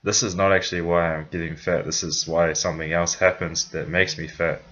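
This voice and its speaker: A man's voice: deep voice, level tone, fairly quick, fairly bright tone, highs cut off. A young man from New Zealand with a New Zealand accent, telling a personal story in a rambling, casual way, full of fillers and restarts. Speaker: vlogger.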